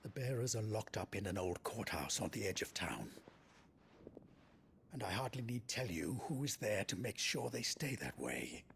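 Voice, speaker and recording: An actor playing a gruff, worried resistance comrade in a video game, blunt and exasperated, with a gravelly voice. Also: male voice, fairly low pitch, sing-song, moderate pace, fairly bright tone, slight background noise, heavily acted. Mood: grim. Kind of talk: video game cutscene acting.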